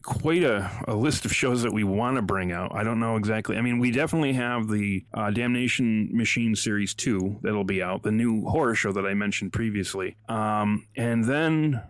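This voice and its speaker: Low-voiced man in a casual interview. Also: moderate pace, few pauses, no echo. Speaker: audio drama creator and voice actor being interviewed.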